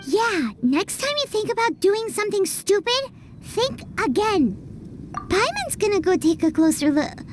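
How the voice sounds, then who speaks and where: A woman voicing a tiny, excitable female fairy companion who talks about herself in the third person. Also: very high-pitched, unhurried, background noise, full character voice. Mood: indignant. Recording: game soundtrack music behind.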